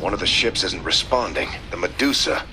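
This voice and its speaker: Fleet commander, game cinematic, very low, animated, gruff military reports. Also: male voice, fairly quick, a little hiss or hum, full character voice. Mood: concerned. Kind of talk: video game cutscene acting.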